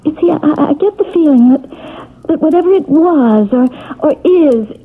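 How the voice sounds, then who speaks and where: A woman's voice: sits high, lively intonation, normal speed. An actress playing a desperate, anxious married woman in a 1970s radio drama, hesitant and stammering. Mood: hesitant, uneasy. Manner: hesitant, stammering, breaking off mid-phrase. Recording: muffled, lo-fi old radio broadcast.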